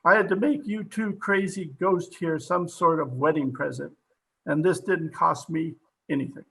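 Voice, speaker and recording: Casting: actor as genial captain, conversational old-time radio style, bright male voice with fry, a bit noisy. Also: on the high side, steady tone, normal speed, heavily acted. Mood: teasing, genial. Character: genial, teasing police captain. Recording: home remote recording with clear background noise.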